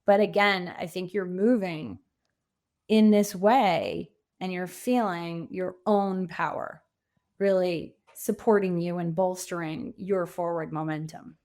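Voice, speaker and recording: A woman's voice: lowish voice, fairly even intonation, slow, darkish tone. A middle-aged woman answering interview questions conversationally, in a reflective, earnest way with emphasis on key words. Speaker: podcast guest, coach and author.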